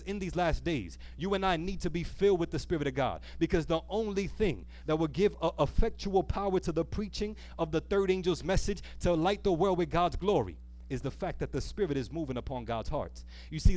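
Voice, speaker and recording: A man delivers a sermon in a fairly high voice. He is emphatic and exhorting as he reads scripture. He often pauses on a question to draw his listeners in before pressing on. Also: darkish tone. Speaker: preacher. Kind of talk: sermon with scripture reading and call-and-response questions. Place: church service, live.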